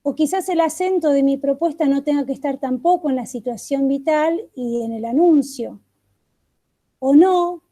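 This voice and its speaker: A woman speaks in a high, sharply bright voice. She leads an online catechesis workshop over a video call, working through one question after another with thoughtful pauses. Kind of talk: reflective online workshop talk.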